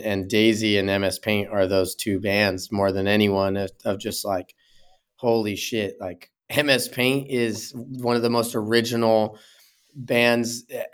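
A man with a fairly low voice chats easily about music in an interview. He circles back and repeats words while he finds his point, relaxed and unhurried. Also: clean tone. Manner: thoughtful, repeats words as he gathers thoughts. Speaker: musician guest. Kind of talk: casual interview chat.

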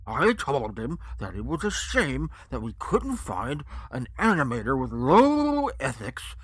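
A narrator voicing a pompous, chinless man with a husky, rough voice and a patronizing, drawn-out, emphatic manner. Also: mid-range voice, huge pitch swings, a bit bright, no echo, voice pushed up, full character voice.

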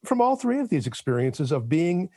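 A man's voice: fairly high pitch, sing-song, moderate pace, warm tone. A man hosting an interview, asking chatty, friendly questions. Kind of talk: conversational interview.

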